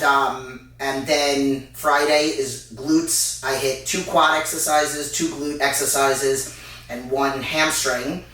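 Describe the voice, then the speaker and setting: A woman's voice: extremely low pitch, a bit bright. A woman in her forties co-hosting a casual fitness Q&A, talking loosely with lots of ums. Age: 40s. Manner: unhurried, loose, many filler sounds. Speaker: fitness co-host. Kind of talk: casual Q&A chat. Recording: echoey room, mic far off.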